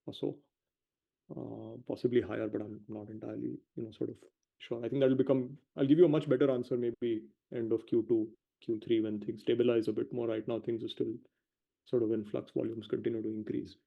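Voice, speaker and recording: Gravelly-voiced male executive on a call. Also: medium pitch. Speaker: company executive answering analyst questions. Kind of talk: earnings call answers, measured and explanatory. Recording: dull lo-fi conference call audio.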